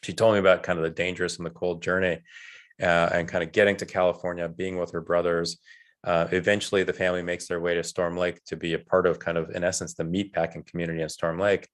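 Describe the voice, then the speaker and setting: A middle-aged man speaks as a panel guest in a very low voice. He talks earnestly and often stops to restart his sentences. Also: little pitch movement, fast talker. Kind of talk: panel discussion. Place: event stage.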